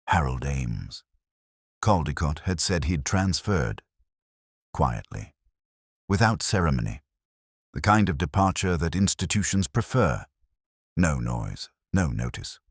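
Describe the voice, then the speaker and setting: A man playing a brooding, erudite English detective who narrates a mystery in measured, introspective, literary phrases with a husky voice. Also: extremely low pitch, dry recording, studio quality, heavily acted. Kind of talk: scripted first-person mystery narration.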